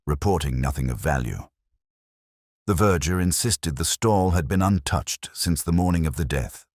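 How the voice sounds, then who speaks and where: A man playing a weary, brooding, sharp-minded police inspector who narrates his own detective story in a measured, wry, literary way. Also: extremely low pitch, no room sound, studio quality, heavily acted. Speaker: first-person narrator.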